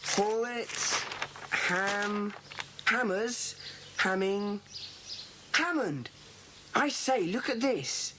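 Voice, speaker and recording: A woman playing a bossy, earnest schoolboy who leads a children's club, brisk and commanding in an audiobook dramatisation. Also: medium pitch, animated. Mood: earnest, decisive. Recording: hissy old cassette dramatisation.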